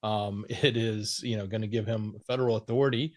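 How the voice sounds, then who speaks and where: A man's voice: fairly low pitch, fairly even intonation, brisk, a little mellow, dry recording, studio quality. A man co-hosting a news show, reporting and analyzing in an informal, explanatory, conversational way.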